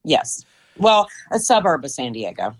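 A woman's voice: low voice, big pitch swings, a little slow. A woman in her fifties answering interview questions, thoughtful and hesitant with many fillers. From United States. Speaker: interview guest.

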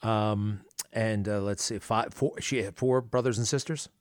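A man with a low voice hosts an interview, firing off quick questions that stumble through fillers and restarts. The sound is dry and close, with no room around it. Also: fairly even intonation, no room sound. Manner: rapid, halting questions with fillers. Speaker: interview host. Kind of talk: podcast interview.

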